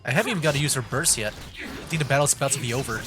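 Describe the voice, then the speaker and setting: Male game streamer over loud music. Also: medium pitch, racing. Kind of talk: casual gaming stream commentary, reading some dialogue aloud.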